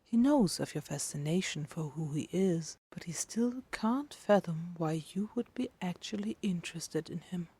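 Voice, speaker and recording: A woman narrating a dramatic fiction reading in a hushed, intimate manner with deliberate phrasing. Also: fairly low pitch, lively intonation, slightly rough. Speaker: podfic narrator.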